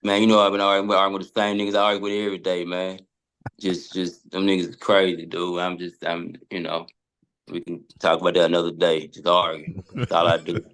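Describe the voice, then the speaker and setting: A man's voice: low-pitched, completely flat delivery, brisk, a bit bright, clear, resonant tone, low-quality recording. A man speaks as a guest on a casual podcast. He is slangy and emphatic, circling back to repeat his own phrases.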